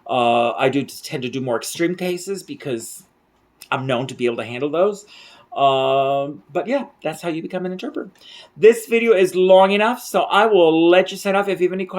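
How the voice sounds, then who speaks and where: A man's voice: on the high side, moderate pace. A middle-aged man from central rural Mexico, an interpreter telling stories to the camera in a rambling way, full of fillers and restarts, recorded close up in a small room at night. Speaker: interpreter and vlogger. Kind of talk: casual storytelling to camera.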